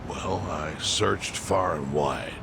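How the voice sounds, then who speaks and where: An actor playing a calm, calculating older chairman in an English video game dub, gravelly and measured, laying things out with dry bluntness. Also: very deep voice, background noise, heavily acted. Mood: dryly teasing.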